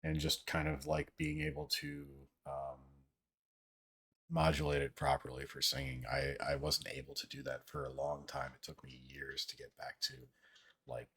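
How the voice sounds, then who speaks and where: A man with a low, deep voice and a somewhat monotone delivery hosts a casual livestream. He rambles and halts as he talks, swearing freely. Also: moderate pace, vocal fry, breathy edge. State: voice somewhat out of sorts. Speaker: livestream host. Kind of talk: casual livestream chat, reading viewer comments.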